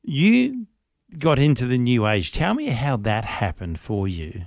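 A man's voice: medium pitch, huge pitch swings, measured pace, vocal fry, no room sound. A man, a producer filling in as radio host, who interviews a studio guest in a chatty, upbeat way. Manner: chatty, upbeat, lots of fillers and restarts.

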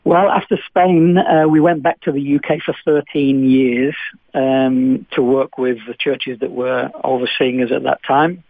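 A man's voice: medium pitch, steady tone, measured pace, dry recording. A man in his fifties with a Manchester accent, a pastor talking as a radio interview guest, chatty with many fillers and restarts. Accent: Manchester English. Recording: dry tinny phone line.

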